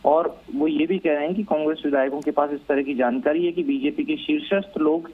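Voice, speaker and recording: Male Indian correspondent, slightly high-pitched, measured and halting analysis, heard through a thin telephone connection. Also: steady tone, husky. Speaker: news correspondent. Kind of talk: live phone report, analytical commentary. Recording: narrow, tinny phone line.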